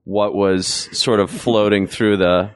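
A man's voice: fairly low pitch, fairly even intonation, slow, smooth, no room sound. A man in his forties hosting a casual chat, blunt and crude with a teasing edge. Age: 40s.

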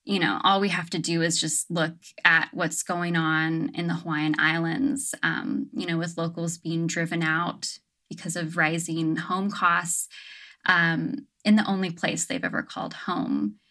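Reflective woman with lower voice. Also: unhurried, pushed and bright. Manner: reflective, many fillers like "um" and "like". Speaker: guest. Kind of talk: casual interview conversation. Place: her home on an island.

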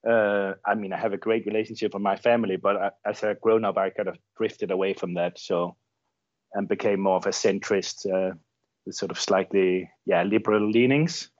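A man's voice: lowish voice, steady tone, moderate pace, darkish tone, no room sound. Middle-aged Danish man, journalist guest, searching and repeating words, dry and muffled audio. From Denmark. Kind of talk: interview conversation.

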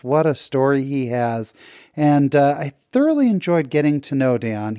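A man hosts a podcast interview in a friendly, upbeat way, often filling pauses with "uh". His voice sits at an ordinary pitch for a man. The sound is dry and close. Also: dark tone, no echo. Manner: upbeat and friendly, with frequent fillers. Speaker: podcast host.

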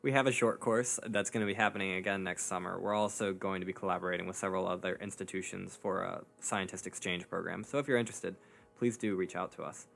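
A man's voice: low voice, steady tone, quick pace, vocal fry, fairly bright tone. A young man co-hosting a casual science podcast, teasing his co-host with dry jokes. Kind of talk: casual chat.

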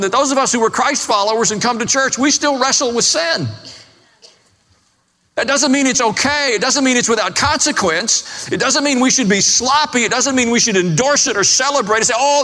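A man's voice: extremely high pitch, bright, forceful tone. A man preaching a sermon in an emphatic, plain-spoken way, stressing points with short repeated phrases. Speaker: pastor, preacher. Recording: long reverberant hall, faint music.

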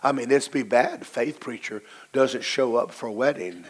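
A hoarse, low-voiced middle-aged man preaches from the pulpit, leaning hard on key words and stretching them out slowly. His pitch sits in an ordinary male middle range, but the hoarseness roughens every line. He swings between rising emphasis and quieter asides. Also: rough recording. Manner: emphatic preaching with slow drawn-out stresses. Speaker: pastor. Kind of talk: sermon.

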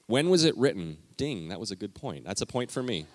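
A man preaching a conversational teaching sermon in a church, talking directly to the congregation with lively emphasis. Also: on the low side, a little fast, no echo. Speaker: pastor. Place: church sanctuary.

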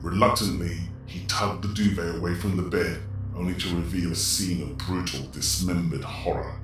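Deep-voiced male horror narrator, heavy echo. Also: fairly even intonation, moderate pace, slight background noise. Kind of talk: dramatic horror story narration. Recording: echoey, distant, under prominent music. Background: prominent music.

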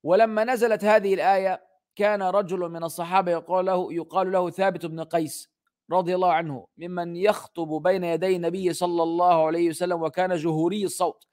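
A man's voice: sits high, steady tone, darkish tone. A man giving an Islamic religious lesson as a teacher, moving between Arabic text and English translation. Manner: halting English delivery, rapid fluent Arabic recitation.

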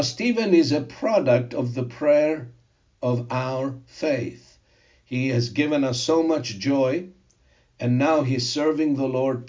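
An older man whose first language is Greek, preaching a sermon and telling personal testimony in a slow, emphatic way. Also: mid-range voice, a little mellow, highs cut off. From Zimbabwe.

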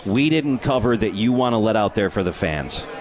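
A man's voice: lowish voice, lively intonation, moderate pace. A man hosting live sports talk radio with an announcer-like delivery. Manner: announcer-like, reads sponsor credits. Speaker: sports radio show host. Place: tavern and grill, live remote broadcast.

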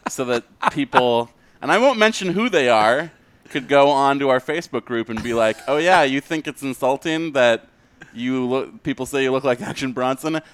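A man's voice: medium pitch, moderate pace, fairly bright tone, clean tone. A man co-hosting a podcast, talking casually in a self-deprecating, sweary way. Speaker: podcast co-host.

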